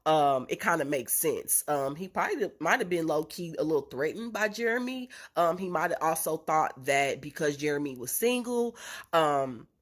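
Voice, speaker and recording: A woman's voice: low voice, lively intonation, moderate pace. A young woman with a congested, nasal voice from a cold, recapping a reality show in a chatty way with lots of ums and blunt slang. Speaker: reality TV reviewer. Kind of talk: casual recap and opinion vlog.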